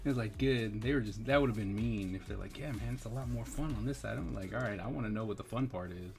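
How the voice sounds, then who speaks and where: A man's voice: lowish voice, fast talker, vocal fry, music in the background. A man chatting casually and laughing often while he paints on a livestream. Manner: laughs often, reads chat aloud. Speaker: streamer.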